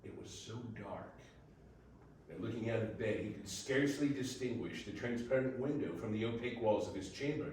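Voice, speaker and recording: A man giving a dramatic, deliberate narration of a classic ghost story in a theatrical manner. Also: medium pitch, expressive, darkish tone. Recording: very echoey room, distant mic, faint noise.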